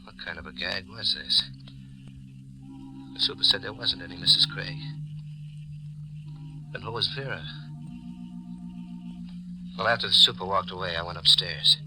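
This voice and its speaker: A man's voice: low-pitched, normal speed, long pauses, loud music behind the voice. An American actor playing a nervous, cornered man who narrates his own crime story in 1940s hard-boiled radio style. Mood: grim, haunted. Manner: jittery, hesitant in dialogue, hard-boiled in narration. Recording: noisy vintage 1940s radio recording.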